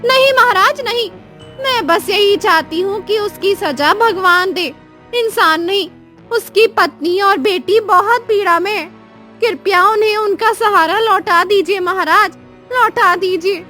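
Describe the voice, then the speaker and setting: A woman's voice: very high voice, a little slow, bright, forceful tone, soft background music, full character voice. A woman voicing a forgiving, sorrowful village wife who pleads earnestly in a cartoon story. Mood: pleading. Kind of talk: Hindi cartoon moral story acting.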